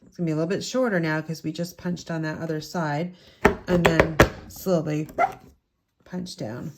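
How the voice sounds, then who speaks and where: A woman with a raspy voice from a lingering head cold, from the United States, chatting through a step-by-step card-making tutorial in her craft room at home. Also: deep voice, steady tone, moderate pace, slight background noise.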